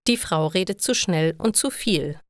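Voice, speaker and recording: A woman narrating a scripted story for language learners, reading clearly and carefully. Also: lowish voice, lively intonation, measured pace, no echo, clean studio sound.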